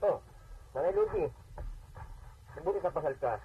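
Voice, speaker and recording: A man's voice: on the high side, expressive, moderate pace, soft background music, close to an everyday voice. A man acting a friendly, sympathetic recruitment agency staffer in a conversational radio drama style. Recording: muffled, husky-sounding AM radio playback.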